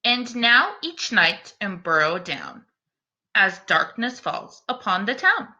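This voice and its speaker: A woman teacher doing an expressive children's read-aloud, speaking in an encouraging way aimed at young listeners. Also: mid-range voice, takes it slowly, lo-fi sound. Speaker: teacher reading a picture book aloud.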